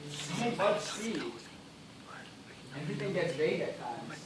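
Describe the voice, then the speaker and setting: A man acting in a stage play as a weak, parched gentleman castaway, speaking despairingly in formal, courteous, melodramatic diction. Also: high-pitched, big pitch swings, unhurried. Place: school theatre stage, recorded from afar. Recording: very echoey, noisy distant stage recording.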